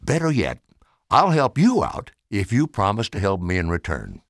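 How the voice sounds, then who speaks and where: Storybook role: elderly toy maker, male narrator acting him, teasing, kindly, hugely animated delivery, dry sound. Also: fairly low pitch, normal speed, no echo. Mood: sly. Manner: chuckling, playful teasing.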